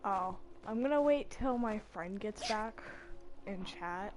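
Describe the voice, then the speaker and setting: A woman's voice: mid-range voice, lively intonation, slow. Casual woman streamer over game music. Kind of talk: casual live stream commentary.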